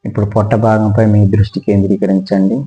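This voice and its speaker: A man guides a relaxation meditation as a yoga instructor, speaking slowly and soothingly with pauses. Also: on the low side, flat delivery, warm tone, rough recording.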